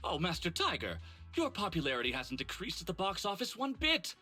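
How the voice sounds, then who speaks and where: A high, piercingly bright male voice for an excitable film director in a game. He is effusive and theatrical, flattering and pleading with sweeping intonation. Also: brisk, breathy edge, faint music behind, full character voice. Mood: persuasive, effusive. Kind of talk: video game voice acting.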